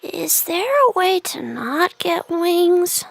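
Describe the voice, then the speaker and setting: A woman's voice: very high-pitched, takes it slowly, vocal fry, no echo, studio quality, full character voice. A woman voicing a tiny, timid young male caterpillar in a children's audiobook, with a small, hesitant, childlike voice. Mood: anxious, hushed. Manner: hesitant, wide-eyed questioning. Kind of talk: children's audiobook character acting.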